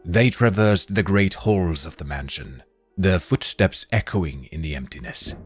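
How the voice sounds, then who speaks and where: Low-voiced male narrator, animated delivery, music bed. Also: measured pace. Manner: expressive, hushed in tense moments. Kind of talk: scripted storybook narration.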